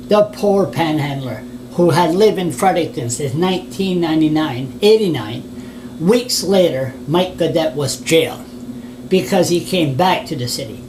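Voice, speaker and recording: A Canadian man, a blogger, reading his written complaint aloud in an indignant, plain-spoken way with offhand asides and restarts, on a noisy recording. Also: on the high side.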